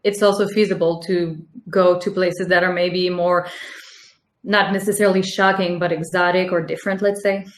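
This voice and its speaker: A woman hosting a webinar, presenting and asking interview questions in a friendly, measured way with slightly phrasing. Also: lowish voice, flat delivery. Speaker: webinar host, foundation coordinator. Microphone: video call. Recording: echoey room on a video call.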